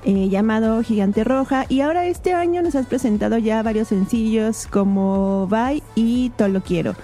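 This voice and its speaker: Show host: woman, casual and friendly, high pitch, warm dark tone. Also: normal speed, faint music behind. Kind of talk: radio interview hosting.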